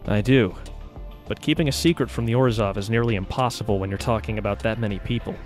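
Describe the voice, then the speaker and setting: A man's voice: fairly low pitch, fast, darkish tone, faint music behind, lightly acted. A man playing a determined, scheming guild mage in audio drama dialogue. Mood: grave.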